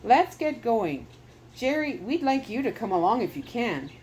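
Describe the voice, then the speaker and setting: Storybook doctor dad, businesslike and steady; a woman reader does him lightly. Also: very high-pitched, unhurried, a little hiss or hum, pitched above the performer's own voice, heavily acted. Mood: earnest. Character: determined father, a scientist on a search.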